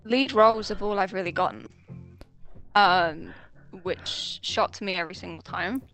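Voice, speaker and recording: A woman's voice: on the low side, unhurried, a bit bright, soft background music. Young woman rambling through stories, swears a lot, laughs nervously. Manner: chatty storytelling with frequent swearing and nervous laughs. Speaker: voice actress guest. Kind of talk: casual chat.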